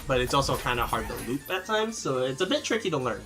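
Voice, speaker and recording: A man's voice: medium pitch, very animated, quick pace, sharp tone, soft background music. A man streaming a game, answering chat questions casually with plenty of fillers and restarts. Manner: rambling, many fillers and restarts.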